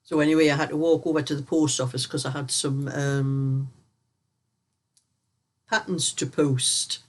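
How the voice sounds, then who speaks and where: Older woman, vlog host, croaky with a cold, rambling and chatty. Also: deep voice. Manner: rambling, chatty storyteller who laughs often. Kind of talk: casual chat while knitting.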